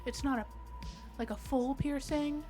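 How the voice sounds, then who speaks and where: A woman's voice: sits high, steady tone, measured pace. A woman playing a tabletop game, talking in an informal, chatty way. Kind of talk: casual game chat. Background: faint music.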